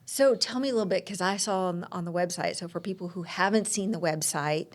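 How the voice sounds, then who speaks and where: A woman co-hosting a church podcast, asking the guest questions in a warm, encouraging way. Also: fairly low pitch, brisk. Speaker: podcast co-host. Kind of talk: casual interview questions.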